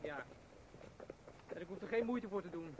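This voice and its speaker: An actor playing a firm, mind-reading traveler and wolf's master in a radio drama. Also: male voice, sits high, lively intonation, moderate pace. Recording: clear background noise.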